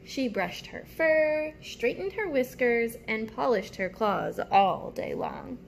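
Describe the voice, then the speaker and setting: Creaky, higher-voiced woman reading to children. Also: animated, slow pace, a little hiss or hum. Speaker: teacher reading a picture book aloud to children. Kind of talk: storybook read-aloud with asides to young listeners.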